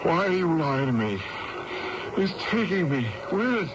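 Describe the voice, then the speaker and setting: Slow, shaky, haunted man. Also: a little high, sing-song. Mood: despairing. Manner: shaky, halting. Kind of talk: 1970s radio drama acting. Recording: dull, very noisy old radio broadcast.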